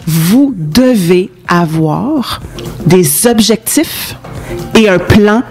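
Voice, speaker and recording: A woman's voice: medium pitch, animated, drawn-out words, darkish tone, soft background music. Emphatic Québécois woman giving advice. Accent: Québécois French. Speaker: entrepreneurship consultant, show guest. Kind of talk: conversational advice in an interview.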